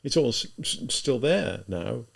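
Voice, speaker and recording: A man voicing a tormented, spiteful young murderer in an audiobook, halting and emphatic as he reproaches himself. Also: medium pitch, lively intonation, vocal fry, heavily acted. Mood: quiet, brooding.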